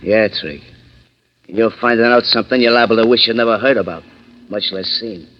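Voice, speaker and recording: A man's voice: fairly low pitch, a little fast, close to an everyday voice. An actor playing a brusque, hot-headed gangster henchman in slangy 1930s radio crime drama. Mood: tough, impatient. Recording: dull, noisy old 1930s radio recording.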